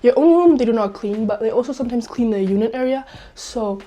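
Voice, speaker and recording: A woman's voice: a little high, brisk, warm tone. A young woman from Spain, still getting over a cold, chatting upbeat to camera in a small dorm room and saying "like" a lot. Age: young adult. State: recovering from a cold. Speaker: vlogger. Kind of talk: casual vlog talk to camera.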